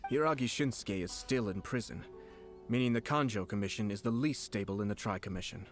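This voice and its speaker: An actor playing a composed, polite young nobleman in a video game, speaking in a measured, formal way. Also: fairly low pitch, music in the background, close to an everyday voice. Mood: measured, serious. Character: composed, urbane young nobleman commissioner, curious and unhurried. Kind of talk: video game character acting.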